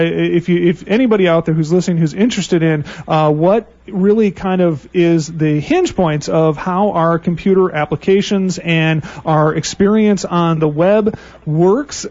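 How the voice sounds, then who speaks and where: Male radio host, high voice, effusive and rambling, restarts a lot, muffled lo-fi sound. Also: darkish tone. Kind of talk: casual interview hosting. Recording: muffled radio broadcast audio.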